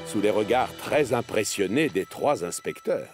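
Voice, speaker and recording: Narrateur masculin de dessin animé, sur musique. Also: male voice, fairly low pitch, unhurried, faint music behind. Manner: expressive storytelling. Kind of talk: scripted children's cartoon narration.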